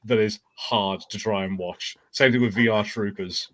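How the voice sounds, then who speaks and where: A man's voice: fairly low pitch, steady tone, normal speed. A man talking casually as a podcast co-host.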